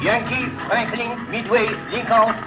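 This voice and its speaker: An urgent radio announcer of coded messages, very high in pitch. The 1950s drama voice comes through a radio, echoey and distant, under loud music. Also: male voice, level tone, measured pace, highs cut off.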